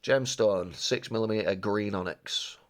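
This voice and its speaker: A rambling, excitable man talks through a card unboxing in a fairly low voice. He sounds slightly stuffed up from a cold and chats casually, drifting off topic now and then. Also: a little slow. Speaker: card-opening vlogger.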